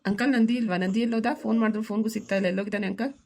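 Young village lad for cartoon comedy, voiced by a woman: mid-pitched female range, very rapid, whiny, evasive, easygoing. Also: steady tone, gravelly, darkish tone. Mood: evasive, pleading. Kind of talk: family comedy drama for a cartoon story.

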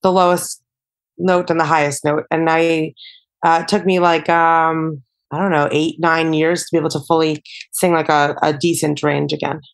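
A woman's voice: sits low, little pitch movement, normal speed. A young adult woman, a singer-songwriter guest on a podcast, chatting casually and filling her talk with "like" and "you know". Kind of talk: casual interview chat.

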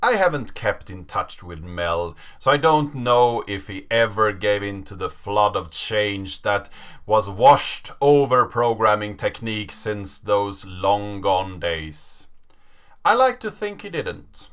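A man in his thirties giving a dramatic reading of a story, measured and with deliberate pauses. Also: fairly low pitch, animated, fairly bright tone, clean tone. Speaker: presenter reading a story aloud. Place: small indoor room, seated at a desk.

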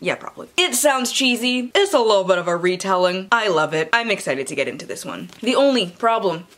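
A woman's voice: medium pitch, lively intonation, a little fast, a bit bright. A woman in her twenties hosting a casual book vlog, talking in a playful, self-deprecating way. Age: 20s. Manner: playful, self-deprecating, theatrical when reading aloud. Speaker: book vlogger and host. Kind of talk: casual book haul chat with dramatic readings. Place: small indoor room lined with bookshelves.

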